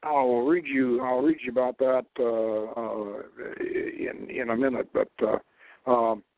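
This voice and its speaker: A man hosting a radio talk show, lecturing in a deliberate, halting way. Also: mid-range voice, flat delivery, no room sound. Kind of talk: talk radio lecture, partly read aloud. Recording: tinny, phone-like radio line.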